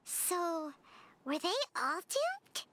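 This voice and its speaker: A tiny game fairy companion, with an extremely high, childlike voice. The delivery is chirpy and animated, swinging widely in pitch, with a sharp bright edge. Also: female voice, drawn-out words, slight background noise, exaggerated voice. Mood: earnest. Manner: speaks of self in third person. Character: small childlike floating fairy companion, chirpy and matter-of-fact. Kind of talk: video game voice acting.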